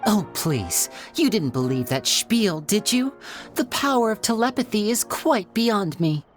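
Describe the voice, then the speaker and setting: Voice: medium pitch, big pitch swings, measured pace, faint music behind. A performer voicing a suave, self-assured young male stage magician in a video game, with playful showman's patter. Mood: wry, amused.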